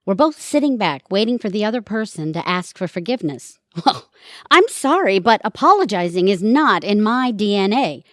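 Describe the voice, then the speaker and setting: A woman acts out a prickly, self-absorbed retired mother in an audio play. She is middle-aged and sardonic, with lively swings of pitch, recorded close and dry. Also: moderate pace, no room sound, lightly acted. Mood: wry, defiant. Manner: sardonic, cutting. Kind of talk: audio theatre comedy-drama acting.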